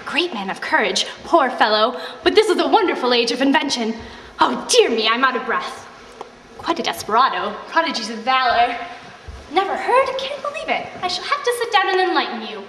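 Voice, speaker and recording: A man putting on a gushing, shrill society lady. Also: sing-song, fairly quick, sharp tone, heavily acted. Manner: chattering, exclamatory, shrill. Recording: echoey room, mic far off.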